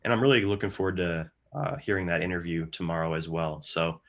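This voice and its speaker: Low-voiced man explaining market charts. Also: a little fast, fairly bright tone. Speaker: market analyst. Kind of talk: live technical chart commentary.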